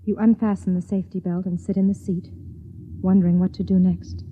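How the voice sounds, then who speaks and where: An actress playing a lonely, anxious young wife in 1950s radio drama style, dramatic and deliberate. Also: female voice, on the low side, level tone, a little hiss or hum, natural voice. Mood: tense, fearful. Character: lonely, anxious young wife narrating her own story. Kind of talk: 1950s radio drama, second-person narration. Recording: dull old radio recording.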